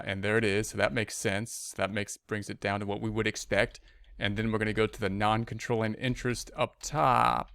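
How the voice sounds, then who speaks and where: A man with a fairly low, smooth voice walks through a spreadsheet lesson as an accounting instructor. He talks informally, thinking aloud one step at a time. The sound is close and dry, like a screen-recording voice-over. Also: fairly bright tone, no room sound.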